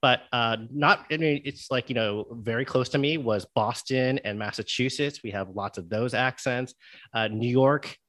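A chatty American man hosts a relaxed interview, his voice bright and forward. The sound is close and dry with no room in it. He speaks a neutral American accent and laughs easily. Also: medium pitch, normal speed, no echo. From Connecticut, USA. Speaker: host. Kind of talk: casual interview chat.

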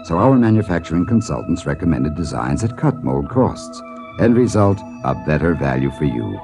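A male announcer delivers a scripted commercial. The voice is extremely deep and creaky, with lively intonation. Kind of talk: scripted advertising narration.

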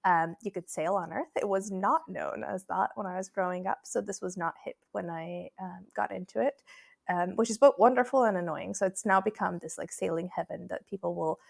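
Creaky-voiced woman from Croatia. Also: lowish voice, a little fast. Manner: thoughtful, with many restarts and ums, laughs easily. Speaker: podcast guest. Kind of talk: casual chat.

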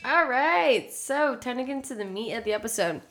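A woman's voice: a little high, lively intonation, brisk, fairly bright tone, soft background music. Young American woman chatting casually. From Texas, United States. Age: 20s. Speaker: podcast co-host. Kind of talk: casual chat.

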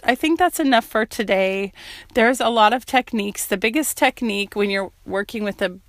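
A woman hosting a solo podcast, talking in an earnest, reflective way while sick and losing her voice, often pausing with "um" and "you know.". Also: mid-range voice, a bit bright, no room sound, studio quality. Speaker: podcast host. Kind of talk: casual solo podcast talk.

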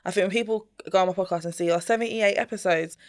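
A woman's voice: mid-range voice, fast talker, clear, resonant tone. A woman of Jamaican heritage hosting a podcast, enthusiastic and encouraging, often repeating herself. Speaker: podcast host. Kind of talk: casual interview chat.

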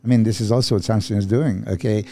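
A man in his fifties from Serbia talks casually as a podcast guest. His voice is low, dark and mellow, and he laughs easily as he tells stories. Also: fast. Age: 50s. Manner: laughs often, relaxed storytelling. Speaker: guest, bodybuilding coach.

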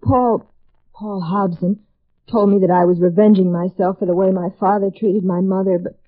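Actress as young femme fatale, tinny old radio. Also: female voice, mid-range voice, fairly even intonation, moderate pace, close to an everyday voice. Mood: vulnerable. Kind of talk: 1950s radio drama acting. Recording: tinny band-limited vintage radio recording.

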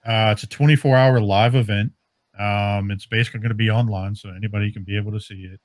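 Man, low voice, dry close sound, casual podcast co-host, informal, loose and sweary. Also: fairly even intonation, fast, no room sound. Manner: informal, swearing, filler-heavy. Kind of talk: casual fan chat about comics and movies.

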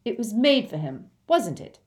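Woman playing a blunt, excitable teenage boy. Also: medium pitch, normal speed, pitched above the performer's own voice, close to an everyday voice. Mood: defensive. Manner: colloquial, indignant, pushy.

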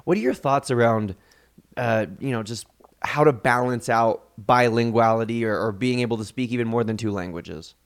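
A male podcast host with a smooth, resonant voice and middle pitch. He talks in a friendly, rambling way, full of fillers and little restarts. It sounds like a relaxed interview chat. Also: moderate pace.

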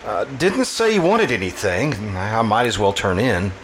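A man's voice: fairly low pitch, huge pitch swings, normal speed. An actor playing a polite, deferential household butler in old-time radio drama style, a man with a hesitant, servile manner. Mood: deferential, unsure. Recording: noisy live stage performance recording.

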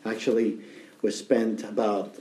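Man from Greece, low mellow voice, talking from off-mic in an echoey room. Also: little pitch movement, unhurried, distant mic, a little hiss or hum. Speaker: band member. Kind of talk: casual interview chat.

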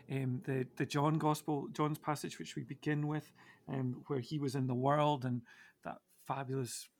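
A man co-hosting a reflective, conversational Bible discussion, musing in a halting but emphatic way. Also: medium pitch. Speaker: podcast co-host.

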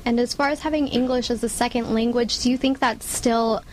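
A woman's voice: on the high side, steady tone, a little fast, slight background noise, low-quality recording. A woman interviewing a guest, asking questions in a conversational way.

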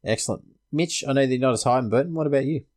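A man's voice: medium pitch, racing. An Australian man hosting a sports podcast, casually discussing fantasy rugby league.

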